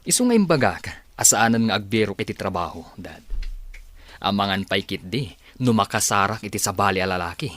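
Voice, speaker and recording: A man's voice: lowish voice, animated, brisk, fairly bright tone, dry recording. A Filipino man playing a stern, jealous young husband in a radio drama, curt and commanding. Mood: defensive. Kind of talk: Philippine radio drama acting.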